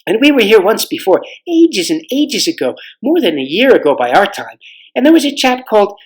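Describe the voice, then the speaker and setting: A man's voice: sits high, big pitch swings, fairly quick, pitched above the performer's own voice. A man voicing a chatty, eager schoolboy in a storybook, explaining things informally.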